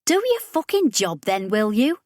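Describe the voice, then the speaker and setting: A woman's voice: mid-range voice, very animated, moderate pace, darkish tone, no echo, clean studio sound, close to an everyday voice. A woman voicing a blunt, sweary, disgruntled female spacer in a science fiction audiobook. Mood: irritated. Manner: blunt, grumbling.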